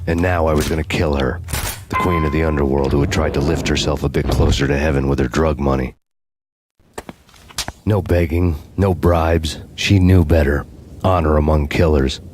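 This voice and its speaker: Very deep, brooding noir antihero. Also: male voice, noisy background. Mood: grim, fatalistic. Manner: deadpan, fatalistic, deliberate. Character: brooding, world-weary vengeful male noir antihero narrator. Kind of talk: hard-boiled noir scripted narration.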